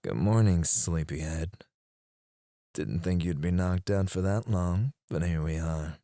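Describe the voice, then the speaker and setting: A man performs a menacing male captor in an intimate ASMR roleplay. His low voice moves at an unhurried drawl, teasing and threatening, often sinking into hushed murmurs. The sound is close and dry. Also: dry recording. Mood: smug, mocking. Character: menacing, teasing male kidnapper interrogating a captive. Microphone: close studio microphone.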